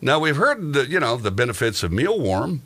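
A man with a bright, forceful voice hosts a casual comedy chat. His pitch swings in a lively way as he rambles and jokes through everyday anecdotes, at an ordinary male pitch. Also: moderate pace. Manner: joking, rambling, tells exaggerated everyday anecdotes. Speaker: podcast host.

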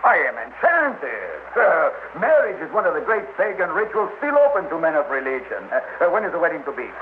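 An actor playing a pompous, temperamental master painter in an old radio drama, grandiloquent and imperious. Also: male voice, fairly high pitch, big pitch swings, a little fast, narrow, tinny audio. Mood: delighted, grand. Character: pompous, temperamental, famous male painter living in Mexico. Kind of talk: 1960s radio drama acting. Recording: old, noisy, phone-thin radio recording.

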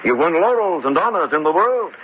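An actor playing a courtly, sinister, ageless Baron with a formal, suave and pompous manner in a horror radio drama. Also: male voice, on the high side, big pitch swings, brisk. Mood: grave, condescending. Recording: dull radio recording, faint noise.

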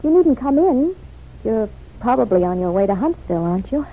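A woman's voice: on the high side, big pitch swings, fairly quick, a little hiss or hum. An actress playing a poised young woman in an old radio serial, coolly ironic and polite, with teasing retorts. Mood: wry, annoyed. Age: young adult. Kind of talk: 1940s radio serial acting. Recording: dull, lo-fi old radio recording.

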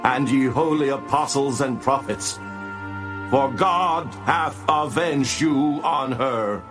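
A man's voice: medium pitch, takes it slowly, over music, lightly acted. A man playing a solemn heavenly voice in a dramatized scripture reading, pronouncing judgment and lament in a declamatory way.

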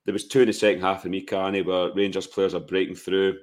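Deep-voiced, hoarse Scottish man. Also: little pitch movement, fast talker, a little mellow. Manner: blunt, opinionated, rhetorical questions. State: hoarse from shouting. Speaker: football podcast co-host. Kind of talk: casual post-match football chat.

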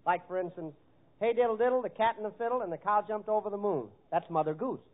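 An actor playing an eager, touchy bandleader who likes reciting rhymes in a 1940s radio comedy. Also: male voice, high-pitched, fast talker, lightly acted. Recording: narrow-band vintage radio recording.

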